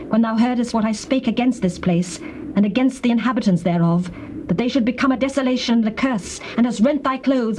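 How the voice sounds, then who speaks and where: An actress playing a solemn, stern prophetess pronouncing judgement in a measured, declamatory scripture drama. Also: female voice, on the high side, darkish tone, natural voice. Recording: dull tape transfer with faint noise.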